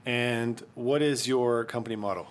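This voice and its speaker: An easygoing man hosts a casual podcast, his voice sitting at an ordinary middle pitch. He often laughs as he talks. A faint room hum runs behind him. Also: normal speed. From Quebec, Canada. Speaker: podcast host. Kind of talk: casual chat.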